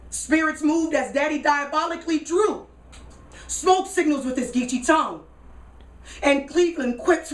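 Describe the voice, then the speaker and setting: A woman from Kentucky with an American accent delivers a theatrical spoken word monologue in dramatic, deliberate phrases with pauses. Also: mid-range voice, slight background noise. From Kentucky, United States. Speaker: solo stage performer and spoken word poet. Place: radio studio live performance. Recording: roomy echo, distant from mic.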